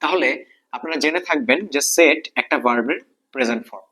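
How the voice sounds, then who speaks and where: A man's voice: a little high, quick pace, sharp tone, rough recording. A man with a Bangladeshi accent teaching an exam-prep grammar lesson, repeating key points and asking checking questions.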